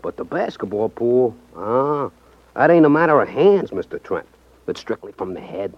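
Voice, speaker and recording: A streetwise small-time hustler in an old radio play, naive but sure he knows it all. His slangy talk swings up and down in pitch, on a dry, dull vintage recording. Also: very animated, moderate pace, no echo. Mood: warning. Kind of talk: 1950s radio drama acting.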